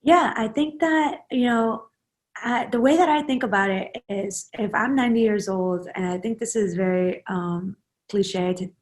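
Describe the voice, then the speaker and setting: A woman's voice: medium pitch, normal speed. A woman in her twenties, a guest in a casual interview, talking in a rambling way with many fillers and restarts. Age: 20s.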